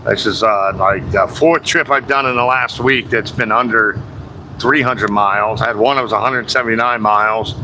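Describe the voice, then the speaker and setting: American trucker guy rambling to his stream from the cab, swears a bit, road noise humming loud behind him. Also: fairly low pitch, fairly even intonation, moderate pace. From United States. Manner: rambling and chatty, with fillers and casual swearing. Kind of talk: casual livestream chat while driving. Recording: constant road and engine noise.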